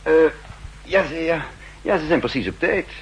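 An actor playing a shrewd, calm spymaster in a 1960s radio play, speaking in a measured, deliberate way. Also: male voice, a little high, rough recording. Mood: calm, sly. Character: shrewd, calm male spy posing as counterintelligence chief. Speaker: lead character and narrator. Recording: hissy, humming 1960s radio recording.

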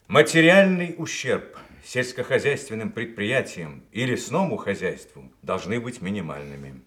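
An actor playing a dignified senior military commander, formal and deliberate, in a Soviet radio play. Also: male voice, on the low side, fairly bright tone. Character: dignified senior army commander, male, courteous, measured.

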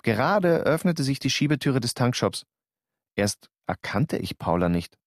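An actor narrating a radio drama in the first person, calm and understated. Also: male voice, mid-range voice, unhurried, no echo, studio quality.

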